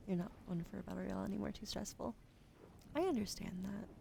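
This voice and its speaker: A sleepy woman streaming ASMR with a sore throat, switching between soft whispers and casual chat about games into a close microphone. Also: medium pitch, moderate pace, vocal fry. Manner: soft whispering between chatty gaming talk. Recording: noisy stream audio.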